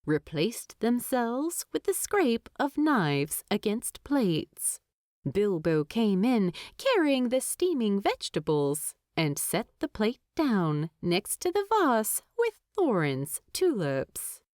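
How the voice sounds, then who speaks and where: A woman narrates fiction at a slow, deliberate pace. Her medium-pitched voice rises and falls expressively, and the recording is dry with no room sound. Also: sing-song, a little mellow, no echo. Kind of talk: expressive scripted story narration.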